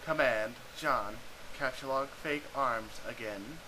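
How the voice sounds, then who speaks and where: A man playing a solemn game command announcer, speaking slowly and deliberately on a noisy amateur recording. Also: medium pitch, close to an everyday voice. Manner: slow, deliberate, declarative.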